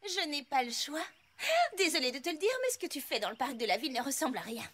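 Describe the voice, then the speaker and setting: A woman's voice: high voice, lively intonation, fast. A woman voicing a refined, fussy unicorn mare in a cartoon dub, prim and theatrical in her complaints. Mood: haughty. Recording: loud cartoon music behind.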